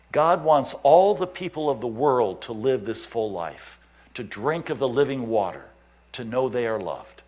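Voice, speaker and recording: A man, a missionary pastor telling stories in a sermon and acting out the voices of the people he quotes. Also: medium pitch. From United States. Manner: animated storyteller, acts out quoted voices, chuckles. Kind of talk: storytelling sermon.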